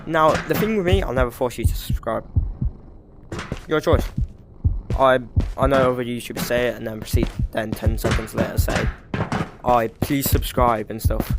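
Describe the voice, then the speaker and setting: A man with a British accent giving rambling, casual commentary over his gameplay, swearing freely and filling pauses with "like" and "um". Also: mid-range voice, creaky, over loud music. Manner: rambling, frequent swearing and fillers. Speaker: gaming YouTuber.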